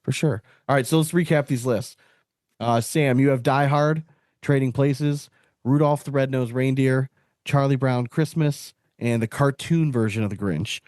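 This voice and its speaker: A man in his late thirties hosts in a casual, chatty way. His voice sits at a middle pitch, and the recording is dry with very little room sound. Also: normal speed, darkish tone, dry recording. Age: late 30s. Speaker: podcast host. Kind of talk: casual chat.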